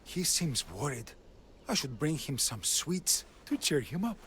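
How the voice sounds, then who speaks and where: Game patient: gentle, caring worrier, lightish pitch, lively intonation, faint background noise. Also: male voice, measured pace. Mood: concerned. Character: gentle, concerned male patient of a physician. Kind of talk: video game cutscene acting.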